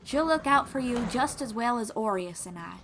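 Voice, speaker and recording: A friendly young traveling bard played by a woman with a slightly high voice and a folksy drawl. She guides and reassures in an encouraging audio-roleplay style, over faint background noise. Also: lively intonation, normal speed. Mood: reassuring. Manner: encouraging, reassuring, gently guiding. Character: friendly young female traveling bard who teaches riding. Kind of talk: second-person audio roleplay acting.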